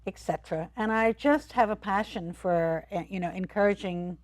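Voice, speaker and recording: A woman's voice: mid-range voice, moderate pace, dry recording. An older English woman with an English accent, an art teacher talking with enthusiasm in a studio interview, full of ums and you knows. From England. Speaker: art academy co-founder.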